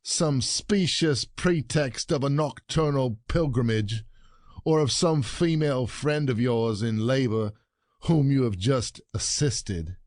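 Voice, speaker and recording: An actor playing a jealous, gloating peasant husband in a classic comedy audio play, sardonic and declamatory. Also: male voice, mid-range voice, slow pace, a little mellow, no room sound, studio quality, close to an everyday voice. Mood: mocking, stern.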